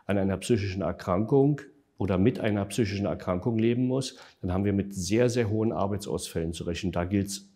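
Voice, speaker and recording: A man answering interview questions in a measured, factual way, with frequent hesitation fillers. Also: fairly low pitch, dark tone. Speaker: interview guest, developer of an online tool.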